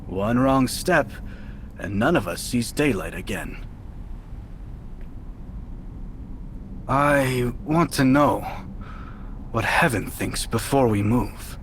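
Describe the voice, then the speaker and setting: An actor playing a shrewd, cautious fortune-telling master thief in a game cutscene, deliberate and wry. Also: male voice, medium pitch, animated, fairly bright tone, slight background noise. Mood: wary. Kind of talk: dramatic game cutscene acting.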